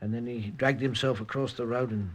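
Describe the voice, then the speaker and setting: An actor as a husky British village constable. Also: male voice, medium pitch, fairly even intonation, a little fast, close to an everyday voice. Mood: subdued. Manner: deferential, reporting. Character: bitter, deferential village police constable. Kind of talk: 1950s radio drama acting.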